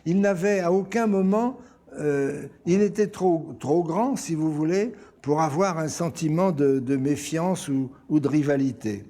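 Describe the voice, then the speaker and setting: A man paying tribute in an interview, deliberate, with pauses and repeated words. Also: on the high side, warm tone. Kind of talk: reminiscing in interview.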